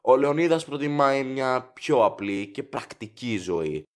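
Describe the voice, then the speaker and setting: A man's voice: mid-range voice, lively intonation, close to an everyday voice. A man playing a courteous, chatty factory director in an audio drama, formal, rambling and eager to please.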